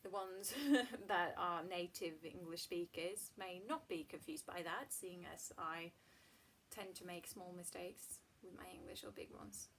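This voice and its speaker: A Swedish woman in her twenties, a native Swedish speaker, chatting casually to camera in her home hallway, with soft, half-whispered asides. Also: lowish voice, steady tone, measured pace, slight background noise, low-quality recording. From Sweden. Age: 20s. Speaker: vlogger. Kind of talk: casual vlog talk to camera.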